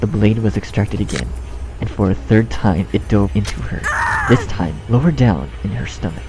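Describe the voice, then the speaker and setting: A man's voice: lowish voice, lively intonation, moderate pace, low-quality recording. A man reading a scripted story as narrator, in a dramatic storytelling style. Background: music.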